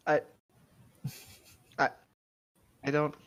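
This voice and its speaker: A man joking around as a co-host in casual banter, swearing freely, on a slightly dull lo-fi microphone. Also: very slow.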